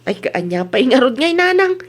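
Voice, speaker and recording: Easygoing young wife, comedy drama played by a woman, high voice, drawn-out pleading delivery, Filipino accent. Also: very animated. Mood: defensive, cheerful.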